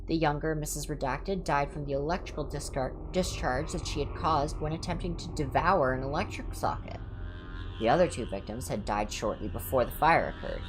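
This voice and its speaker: A woman's voice: low voice, rough recording. A woman livestreamer reading horror entries aloud in an ASMR style, with casual asides.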